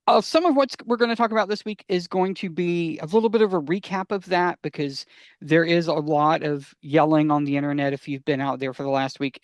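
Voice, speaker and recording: A man's voice: high-pitched, no echo, clean studio sound. A man co-presenting a podcast in casual explanatory chat, wry and rambling, repeating words for emphasis.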